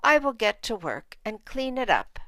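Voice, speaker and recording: A woman voicing a brisk, house-proud farmer's wife in a storybook. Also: a little high, big pitch swings, bright, forceful tone, no echo. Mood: brisk, resolute.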